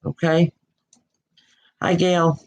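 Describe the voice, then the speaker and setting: A woman's voice: fairly low pitch, little pitch movement, extremely slow. A woman from the Northeastern US giving a live-streamed spiritual reading as a psychic medium. She has a nasal head cold and talks in a chatty, affectionate, rambling way with many fillers.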